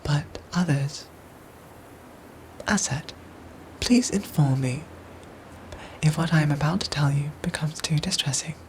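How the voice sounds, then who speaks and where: Actress as caring robot soldier: hushed, breathy whisper, formal measured diction, comforting ASMR audio drama, with noticeable ambient noise behind. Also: female voice, sits low, poor audio. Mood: grave, gentle. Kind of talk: whispered ASMR roleplay acting.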